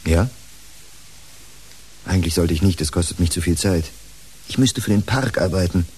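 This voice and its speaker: An actor in a German radio play playing a weary, earnest psychiatrist with a husky voice. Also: male voice, low voice, moderate pace, a little mellow, slight background noise, lightly acted, low-quality recording. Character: weary, earnest male psychiatrist, sad and dutiful. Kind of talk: German radio drama acting.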